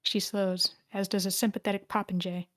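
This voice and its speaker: A woman's voice: mid-range voice, level tone, fairly quick, a bit bright, dry recording, clean studio sound. A woman reading stage directions as narrator, in scripted narration at a screenplay table read.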